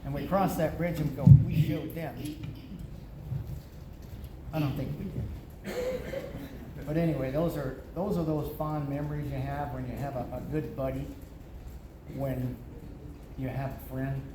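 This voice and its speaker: A man's voice: mid-range voice, steady tone, a little slow, long pauses. An older man giving a plainspoken eulogy for an old friend, reminiscing at a memorial gathering. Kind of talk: memorial remembrance. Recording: echoey room with background noise.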